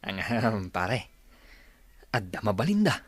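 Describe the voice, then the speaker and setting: A man's voice: fairly low pitch, sing-song, measured pace. A man playing a young man's teasing buddy in an Ilocano audio drama, jocular and familiar. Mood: teasing, chummy. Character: teasing, chummy male buddy.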